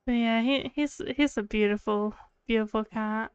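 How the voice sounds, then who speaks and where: A woman's voice: on the high side, steady tone, normal speed, clean tone, no room sound, highs cut off. A woman streamer chatting casually and affectionately while she plays a game. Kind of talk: casual livestream chat. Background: game music.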